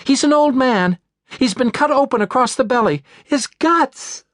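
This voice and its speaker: A male narrator plays an anxious young maid on an emergency call in a thriller audiobook. The voice is lifted high and swings widely with feeling, in a dry, close recording. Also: animated, normal speed, fairly bright tone, dry recording. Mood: horrified panic. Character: anxious young working woman, a maid phoning for help.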